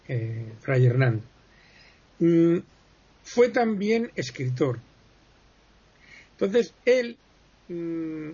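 A man recounts history in an unhurried radio chat, with a gravelly, husky voice pitched a little high for a man. He wanders between points, pausing and correcting himself. The sound is muffled and lo-fi. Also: a little mellow. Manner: digressive, explanatory, many pauses and self-corrections. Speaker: history guest speaker. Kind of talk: conversational radio interview.

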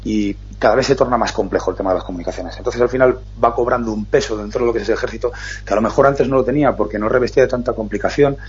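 A man hosting a radio talk show, explaining at length in an earnest, fast way. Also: on the low side, steady tone, darkish tone, slightly rough, lo-fi sound. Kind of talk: casual radio chat.

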